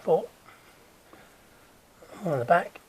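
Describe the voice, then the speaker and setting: Croaky man talking through a repair. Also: on the high side, takes it slowly, noisy background. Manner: relaxed, chatty, talks while working, drops to a mutter. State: croaky, recovering from illness. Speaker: DIY car repair vlogger. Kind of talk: casual hands-on how-to tutorial.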